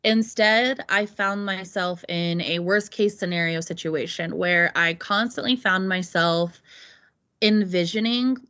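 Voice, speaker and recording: A woman's voice: fairly low pitch, fairly bright tone. A woman in her thirties, a podcast guest, talking through her own experiences in an earnest, reflective way. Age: 30s. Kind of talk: casual conversation.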